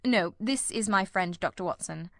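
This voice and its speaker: A shrewd gentleman detective of classic storybook fiction as put on by a woman reader. The delivery is brisk, exact and commanding, with dry wit. The sound is close and dry, at a medium pitch for a female voice. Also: no echo, close to an everyday voice. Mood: polite, probing. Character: shrewd, self-assured, dryly witty male detective. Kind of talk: audiobook character voice.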